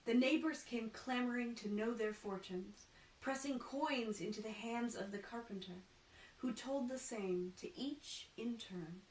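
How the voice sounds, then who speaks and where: A woman reads a children's story in a fairly high voice, taking her time over each phrase. Her delivery is expressive and deliberate. The sound is echoey and a little far from the mic. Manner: animated, deliberate, acts out dialogue. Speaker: costumed storytime reader. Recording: echoey room, distant mic, faint noise.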